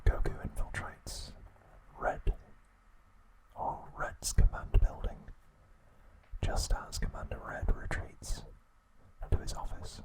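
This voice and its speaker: A man narrating scripted ASMR in a breathy, unvoiced whisper, with hushed, deliberate phrasing and pauses. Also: rough recording. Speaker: ASMR host.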